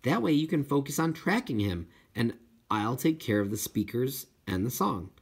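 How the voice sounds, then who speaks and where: Eager, earnest schoolgirl, husky and lively narrator-played. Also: mid-range voice, normal speed. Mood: eager, hopeful. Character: eager, determined schoolgirl in love with whales.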